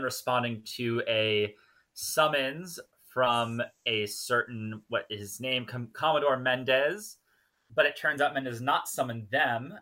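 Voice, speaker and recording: A man's voice: mid-range voice, sharp tone. A man co-hosting a podcast, recapping and chatting casually with wry asides. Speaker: podcast co-host. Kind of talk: casual chat and episode recap.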